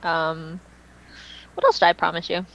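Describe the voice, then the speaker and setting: A woman's voice: lowish voice, flat delivery, moderate pace, creaky, muffled recording, slight background noise. A woman co-hosting a fan podcast in casual, unscripted chat, speaking emphatically and repeating phrases for dramatic effect. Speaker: podcast co-host and fan fiction writer.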